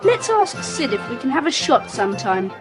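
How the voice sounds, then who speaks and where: A woman playing a keen, excitable young schoolboy in a children's audio adventure. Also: sits high, moderate pace, music in the background. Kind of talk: children's audiobook drama acting. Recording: faintly hissy cassette tape.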